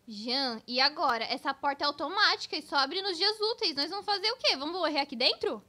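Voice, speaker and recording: A woman with a Brazilian accent voicing an excitable, scatterbrained best friend in a children's comedy cartoon, dramatic and full of exclamations. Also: high-pitched, a little fast. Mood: panicked. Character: excitable, scatterbrained, over-prepared young female best friend.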